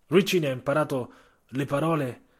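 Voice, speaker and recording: A man with a slightly husky voice narrating a literary audio story for radio, measured, with dramatic pauses and emphasis. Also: medium pitch.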